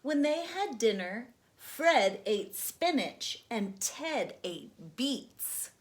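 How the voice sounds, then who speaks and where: Slow, expressive woman reading a picture book. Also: on the high side, a little hiss or hum. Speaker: storytime host and reader. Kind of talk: children's read-aloud narration.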